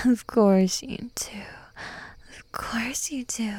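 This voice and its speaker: A woman whispering close to the mic in an adult roleplay, dominant and teasing, giving commands in a breathy whisper. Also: on the high side, extremely slow, no echo.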